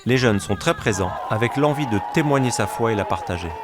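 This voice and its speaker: A man reading scripted reporter narration for a news report, with loud music behind him. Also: mid-range voice, animated, fairly quick.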